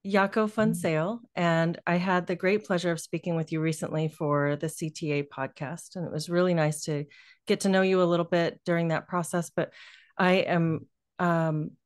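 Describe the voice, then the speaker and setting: Low-voiced woman hosting a video-call interview. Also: fairly even intonation, normal speed. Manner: friendly, hesitant introductions. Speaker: podcast host. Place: room indoors. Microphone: video call webcam.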